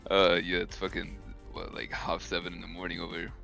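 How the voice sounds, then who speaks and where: A man's voice: low voice, monotone, a little fast, gravelly, pushed and bright, faint music behind. A young man from the Netherlands, a viewer on voice chat during a game, easygoing and laughing, with casual swearing. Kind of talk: casual chat while gaming.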